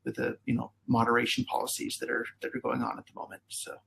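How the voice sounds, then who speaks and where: A man's voice: medium pitch, little pitch movement, fast talker, creaky, fairly bright tone, a little hiss or hum. A man speaking as a guest in a debate, earnest and often repeating words as he stresses his points. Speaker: debate guest, company CEO. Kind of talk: spoken debate.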